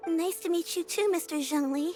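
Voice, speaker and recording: A woman's voice: extremely high pitch, moderate pace. A woman voicing an eager young female disciple who talks in a casual, curious way, in video game dialogue. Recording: game audio with music behind.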